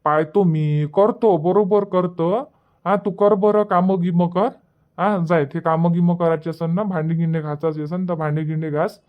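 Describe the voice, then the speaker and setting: A blunt, scolding village husband acted by a man from a cartoon comedy. His voice sits high for a man, with a Varhadi Marathi accent. He sounds fed up, on a dull, lo-fi recording. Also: fairly even intonation, moderate pace, close to an everyday voice. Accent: Varhadi Marathi from Vidarbha. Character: exasperated village husband, protective of his sister. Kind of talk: dubbed cartoon comedy dialogue.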